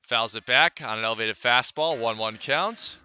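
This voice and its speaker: A man giving live radio play-by-play of a baseball game, calling it in measured, deliberate phrases with pauses. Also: mid-range voice, sharp tone, smooth. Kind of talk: live radio sports commentary.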